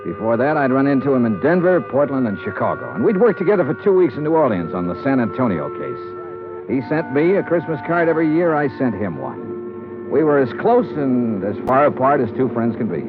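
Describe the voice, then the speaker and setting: An American actor playing a terse, hard-boiled insurance investigator in an old-time radio drama, speaking in a clipped, matter-of-fact way. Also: male voice, mid-range voice, big pitch swings, a little fast, natural voice. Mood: reflective. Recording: muffled vintage radio recording, music behind.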